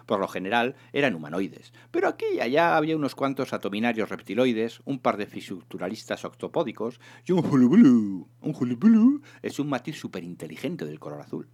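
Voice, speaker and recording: A man reading a humorous science fiction novel aloud in an expressive, theatrical narration. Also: medium pitch, brisk, hardly any pauses. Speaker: reader of a serialized humorous sci-fi novel.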